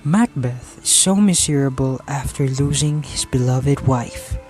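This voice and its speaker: Slow male narrator over music. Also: mid-range voice, a little mellow. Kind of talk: scripted narration of an amateur radio drama. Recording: music behind the voice.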